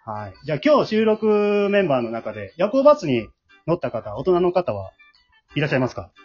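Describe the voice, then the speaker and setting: Male host: quick, polite, chatty delivery over faint music, slightly dull sound. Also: a little high, very animated. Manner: polite, frequent backchannels. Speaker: host of amateur radio drama show. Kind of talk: casual chatty show hosting.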